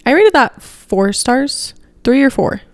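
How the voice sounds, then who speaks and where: Lively young woman in casual chat. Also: high voice, slow. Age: young adult. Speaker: podcast co-host. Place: living room, indoors. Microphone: handheld microphone held close.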